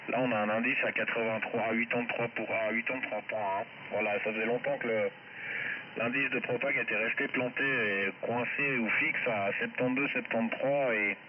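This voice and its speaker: A man with a Swiss French accent chatting casually and ramblingly as an amateur radio operator over a shortwave transmission. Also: medium pitch. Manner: rambling, conversational. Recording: muffled shortwave radio with loud static. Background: loud radio static noise.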